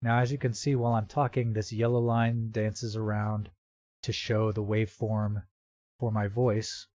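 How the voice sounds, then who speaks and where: A man presenting a casual software tutorial in a halting, step-by-step way, as if thinking aloud. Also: lowish voice, flat delivery, dry recording, highs cut off. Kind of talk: casual screen-recorded tutorial narration. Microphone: computer microphone with real-time noise removal.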